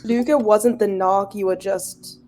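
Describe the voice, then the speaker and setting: A woman's voice: mid-range voice, fairly even intonation, unhurried, a little mellow. A woman chatting casually as a player on a tabletop podcast. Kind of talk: casual chat.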